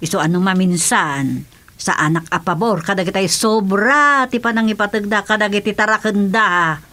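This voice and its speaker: A woman's voice: mid-range voice, normal speed, pushed and bright, lightly acted. A woman playing a nagging, exasperated village wife in an Ilocano comedy radio drama, with an Ilocano accent. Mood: animated chatting.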